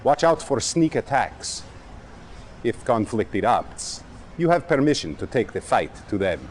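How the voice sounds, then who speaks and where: An actor playing a brisk Soviet field officer, giving a formal, emphatic military briefing in a video game. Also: male voice, medium pitch, big pitch swings, slight background noise. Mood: brisk, resolute.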